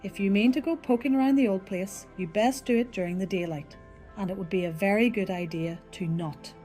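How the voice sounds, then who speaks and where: A man voicing a perky young Irish barmaid with a smooth, honeyed brogue, spinning a spooky tale. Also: high-pitched, fairly quick, mellow, soft background music, voice pushed up, lightly acted. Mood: stern warning. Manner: playful, dramatic flair.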